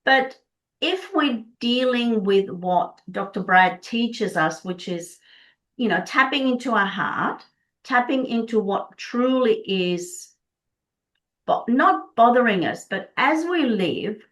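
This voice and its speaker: A woman's voice: a little high, expressive, takes it slowly, a bit bright. A middle-aged woman from Prague, a native Czech speaker, explaining their work earnestly and enthusiastically as an interview guest. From Prague, Czech Republic. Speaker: Body Code practitioner, interview guest.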